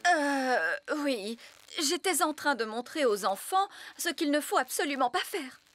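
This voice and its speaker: A woman's voice: high-pitched, very animated, measured pace, fairly bright tone, no echo, soft background music, full character voice. A woman voicing a bossy, self-assured fairy nanny in a French cartoon dub, with a proper, theatrical manner. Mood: flustered, covering up.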